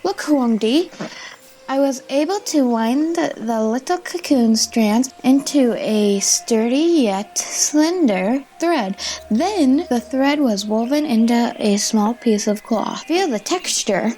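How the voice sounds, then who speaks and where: Woman acting a curious, high-voiced gracious empress. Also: expressive, takes it slowly, mellow, rough recording. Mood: wondering, eager. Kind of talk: audio play acting. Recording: faint music behind the voice.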